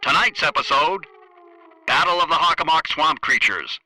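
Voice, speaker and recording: A man playing a theatrical old-time radio announcer who pitches shows and products in a drawn-out way. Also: lively intonation, bright, forceful tone, soft background music, heavily acted. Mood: grand, hearty. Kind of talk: 1940s radio acting, scripted announcing.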